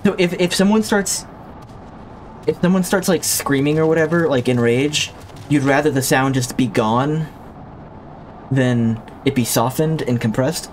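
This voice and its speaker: A man's voice: mid-range voice, unhurried, a little mellow, a little hiss or hum. A man streaming a video game who chats with his viewers in a rambling, reflective way, often restarting his sentences, on a streaming mic with a limiter. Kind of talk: casual chat while livestreaming a game.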